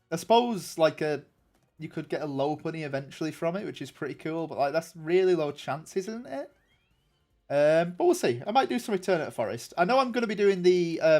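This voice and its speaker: A young man with a nasal voice, chatting casually with viewers on a game stream in a rambling way. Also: fairly high pitch. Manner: rambling and chatty, many ums and restarts. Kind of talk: casual livestream chat answering viewers.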